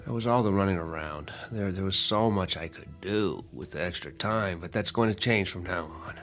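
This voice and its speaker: An actor playing an exhausted, desperate businessman in a radio drama, halting and stammering as he speaks. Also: low-pitched. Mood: weary, evasive. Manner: halting, stammering, breathless pleading. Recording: loud music behind radio drama.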